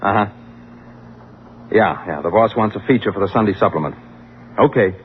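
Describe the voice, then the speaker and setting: Guy playing hard-boiled american reporter, dry wry old radio drama delivery, low voice, dull lo-fi sound. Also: normal speed, slight background noise, lightly acted. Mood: brisk, businesslike. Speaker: actor playing narrator and lead. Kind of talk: vintage radio drama, narration and dialogue. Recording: muffled vintage radio recording with music.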